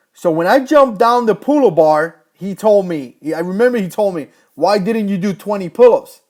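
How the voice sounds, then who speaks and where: A man in his thirties, born in Cuba, chatting casually on a livestream as a former Marine, rambling and correcting himself as he reads viewer comments. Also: sits high. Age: 30s. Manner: rambling, self-correcting, storytelling.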